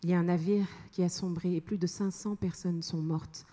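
Young adult woman singer, introduces her song in slow, soft, husky speech; handheld mic in a reverberant concert hall, faint music. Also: fairly low pitch, steady tone. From France. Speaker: singer-songwriter. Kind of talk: spoken introduction to a song on stage. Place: large concert hall stage with audience. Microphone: handheld stage microphone. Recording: long hall reverb, live band behind.

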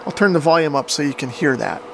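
A man's voice: on the high side, lively intonation, fast, vocal fry. A man giving a casual, informal hands-on equipment tutorial in an explanatory way, recorded on a camera microphone. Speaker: radio hobbyist demonstrating equipment. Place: indoor workshop with radio gear. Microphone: camera microphone at a short distance. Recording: noisy camera mic with fan hum. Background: cooling fan noise.

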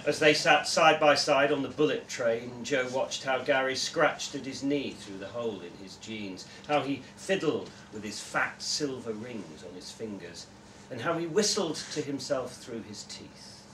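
A man's voice: mid-range voice, animated, a little slow, fairly bright tone. A young man giving a live reading of a short story, narrating it to a room. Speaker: story reader at a literary festival. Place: indoor room before a live audience, recorded from several metres. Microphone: distant camera microphone. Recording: roomy echo, distant camera mic, faint noise.

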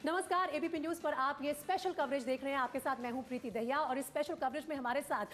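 A woman's voice: sits high, fast talker, a bit bright, a little hiss or hum. A woman news anchor presenting a special cricket broadcast from a studio with polished, dramatic phrasing. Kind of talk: scripted sports news presenting.

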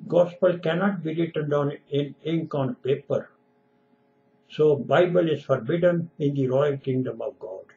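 A man's voice: on the high side, a little slow, vocal fry, dark tone, lo-fi sound. An older man whose first language is Punjabi, preaching emphatically and repetitively in a sermon recorded at home on a webcam. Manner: emphatic, repetitive, gestures with hands. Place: room at home, window behind.

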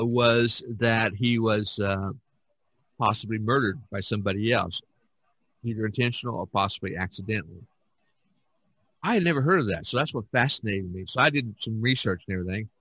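A man's voice: medium pitch, moderate pace, a bit bright, breathy edge, dry recording, low-quality recording. A man hosting an art podcast, talking loosely with many fillers and restarts. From United States. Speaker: podcast host. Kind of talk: casual podcast talk.

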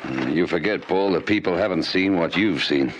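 An actor with a South African accent playing a seasoned, calm police officer in a vintage radio drama, measured and authoritative. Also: male voice, deep voice, highs cut off, close to an everyday voice. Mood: calm, in command. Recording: noisy old radio serial recording.

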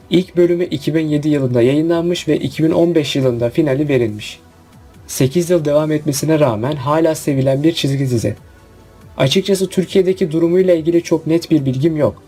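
A man's voice: a little high, brisk, mellow, husky. A man narrating a scripted countdown review. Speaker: video narrator. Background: background music.

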